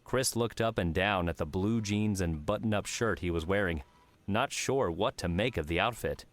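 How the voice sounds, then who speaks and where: A man's voice: low-pitched, moderate pace, no echo. A man narrating an audiobook, reading scripted fiction.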